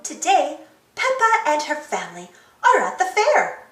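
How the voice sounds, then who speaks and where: A woman reading a children's storybook aloud as an expressive narrator and host. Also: high voice, a little slow, reverberant, recorded from a distance, soft background music. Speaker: storybook reader, channel host.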